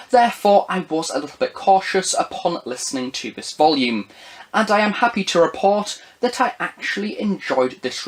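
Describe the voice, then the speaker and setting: A man's voice: on the high side, a bit bright. Young man presenting a review. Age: young adult. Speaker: audio drama reviewer. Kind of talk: review, part chatty, part scripted narration.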